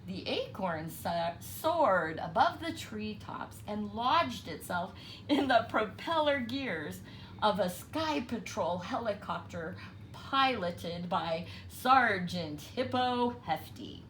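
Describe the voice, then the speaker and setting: A woman reading a children's picture book aloud with expressive storytelling narration. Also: mid-range voice, takes it slowly, slight background noise, low-quality recording.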